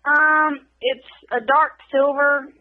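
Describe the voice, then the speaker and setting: A woman with a fairly high voice, heard over a dull, muffled phone line. She explains things casually and chattily, in halting little bursts. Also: level tone. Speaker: private seller taking a phone call.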